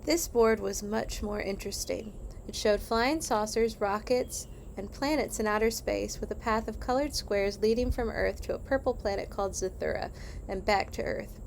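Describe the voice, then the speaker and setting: A woman reads a children's storybook aloud with an animated delivery, acting out the dialogue as she goes. Her pitch sits in the middle range for a woman. There is some noise behind her voice. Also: moderate pace, vocal fry. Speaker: church children's worker reading aloud. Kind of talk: expressive children's storybook read-along.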